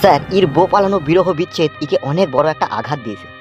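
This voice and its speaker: A man's voice: fairly high pitch, animated, quick pace, muffled recording, soft background music, lightly acted. A man voicing a sympathetic, philosophical young police constable in a cartoon, explaining earnestly.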